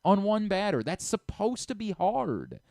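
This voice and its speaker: A man's voice: high-pitched, huge pitch swings, a little slow, gravelly, a little mellow, no echo, studio quality. A man with an American accent hosting a conversational sports radio interview.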